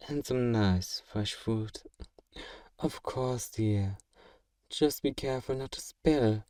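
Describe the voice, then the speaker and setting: A man playing a kind, courteous vampire gentleman in an ASMR roleplay, speaking gently and reassuringly to the listener in an old-fashioned, genteel way. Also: fairly low pitch, no echo, studio quality, close to an everyday voice. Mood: gentle, comforting. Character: kind, wealthy, genteel male vampire owner, soothing and possessive.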